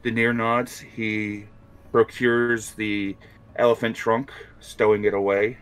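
A man's voice: fairly low pitch, little pitch movement, takes it slowly, fairly bright tone, clean tone, rough recording. A man playing a tabletop role-playing game, narrating what his character does. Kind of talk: narrating own character's actions. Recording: music behind the voice.

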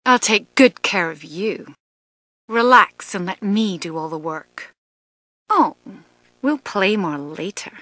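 Seductive female succubus, video game fighter, flirtatious taunts, crisp bright tone, highly animated pitch. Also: takes it slowly, dry recording. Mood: seductive teasing. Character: seductive, teasing succubus woman, playful and haughty. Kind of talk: fighting game character voice lines.

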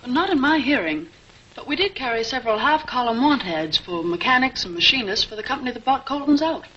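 Earnest young secretary, animated high voice, old serial with dull soundtrack. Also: female voice, a little fast. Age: young adult. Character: efficient, earnest young woman newspaper secretary. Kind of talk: 1940s film serial acting. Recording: dull, noisy 1940s film soundtrack.

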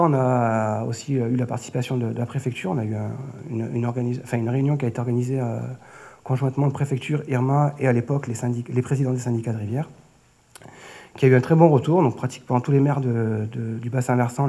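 A man in his thirties with a French accent from France gives a conference talk at a lectern microphone. His voice sits at a medium pitch with a warm, rounded tone. He presents semi-improvised, hesitating and often restarting or rewording phrases. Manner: hesitant, often repeating and rephrasing words. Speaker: flood prevention project manager, conference speaker. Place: auditorium stage at a lectern. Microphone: lectern gooseneck microphone.

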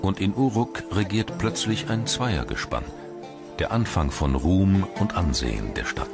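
Very deep-voiced male documentary narrator. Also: big pitch swings. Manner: measured, formal read delivery. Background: background music.

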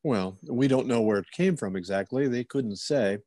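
A man's voice: mid-range voice, moderate pace, warm tone, no room sound, natural voice. A man playing a courteous, inquisitive police officer who muses and probes politely, in old-time radio theater style. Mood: businesslike. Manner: polite, musing, probing.

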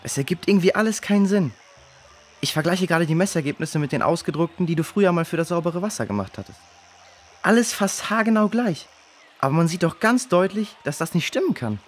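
A man's voice: sits high, lively intonation, brisk. A man playing a puzzled, uneasy boy researcher in a children's audio drama, speaking earnestly and hesitantly.

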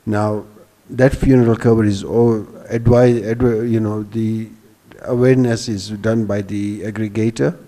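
A man in his sixties speaks as a business panelist in a low, dark, relaxed voice. He explains step by step in a halting manner, often restarting words, into a handheld microphone. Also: long reverb tail. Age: 60s. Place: hall stage before a seated audience. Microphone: handheld microphone held at the chest.

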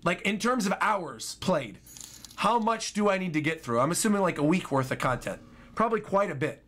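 Animated high-pitched young man reacting. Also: a little fast. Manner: excitable, animated. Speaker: reaction streamer. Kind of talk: casual live reaction commentary. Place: small home room. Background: game trailer music.

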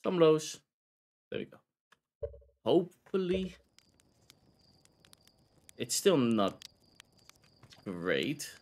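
Lively Dutch-accented male streamer. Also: fairly high pitch, extremely slow, pauses often. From Netherlands. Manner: chatty, rambling, laughs often. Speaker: VTuber game streamer. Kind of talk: casual live-stream game commentary.

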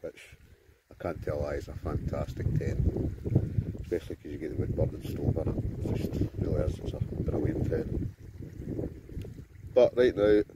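A man's voice: rumbling low, normal speed, long pauses, noisy background. A Scottish man in his forties, chatting casually to a phone camera held at arm's length, outdoors by a river and inside a tent, rambling with many fillers. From Scotland. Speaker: vlogger.

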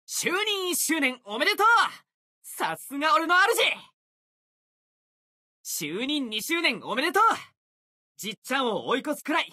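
A man voicing a brash, boastful young sword warrior for an anime-style game, youthful and husky-edged, with cocky, casual boyish speech. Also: very high voice, sing-song, normal speed, long pauses, no echo, studio quality, exaggerated voice. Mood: celebratory. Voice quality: youthful, bright, husky edge.

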